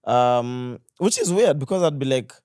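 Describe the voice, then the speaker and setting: A man's voice: mid-range voice, animated, measured pace, darkish tone, clean tone, dry recording, clean studio sound. Kenyan man chatting on a podcast. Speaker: podcast guest, content creator. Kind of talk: casual podcast conversation.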